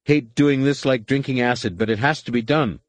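A man's voice: mid-range voice, normal speed, no room sound, pitched above the performer's own voice, lightly acted. A man voicing a nervous, patronizing older male co-pilot turned traitor in a space adventure audiobook. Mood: apologetic, insistent.

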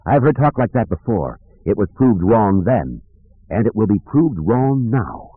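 A confident, defiant mystic master, played by a male narrator. He speaks with firm certainty and lively intonation in a space-fantasy audiobook, through a narrow phone-like band. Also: lowish voice, measured pace, breathy edge, dry recording, heavily acted. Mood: confident defiance.

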